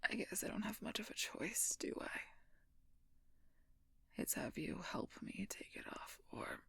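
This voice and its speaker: Woman voicing a hurt werewolf knight lady, breathy half whisper, slow halting lines, close dry sound, tormented audio rp. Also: mid-range voice, expressive, a bit bright, no room sound, heavily acted. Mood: pained, gruff. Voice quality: breathy, husky, often whispering. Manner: halting, strained delivery with sighs. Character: wounded female werewolf knight, tormented, gruff but protective. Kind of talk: intimate audio roleplay acting.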